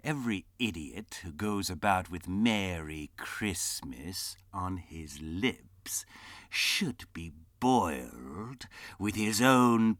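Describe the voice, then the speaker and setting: Grumpy old miser snapping and barking, loud and lively, a guy doing the voice. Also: lowish voice, no room sound, studio quality, full character voice. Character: miserly old man, cross and scornful.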